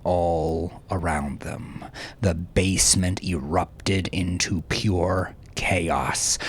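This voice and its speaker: Low-voiced man narrating dramatic fiction, unhurried. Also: slight background noise. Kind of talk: dramatic scripted audiobook narration.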